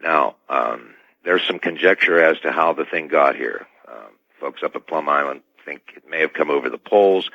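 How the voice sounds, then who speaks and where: A man speaking as a guest in a radio interview, choosing his words carefully and formally, with hesitant, measured phrasing. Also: a bass voice, creaky, dry recording. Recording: dull band-limited radio line.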